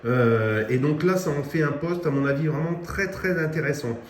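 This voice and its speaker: A man's voice: a little high, moderate pace, echoey room, slight background noise. A man giving a casual product review of a radio, with lots of fillers and restarts. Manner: hesitant, many fillers and restarts.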